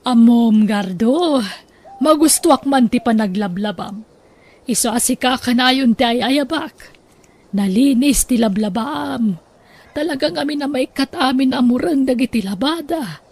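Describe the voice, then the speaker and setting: An actress with an Ilocano accent playing a kindly, generous well-off employer in a radio drama. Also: female voice, high voice, animated, unhurried, darkish tone, slightly rough, exaggerated voice. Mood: appreciative.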